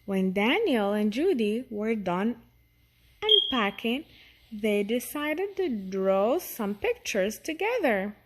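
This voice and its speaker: A woman reading a children's story aloud in an expressive, deliberate storytelling manner. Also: on the high side, noisy background. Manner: deliberate, animated storytelling with character voices. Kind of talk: expressive read-aloud narration for young children.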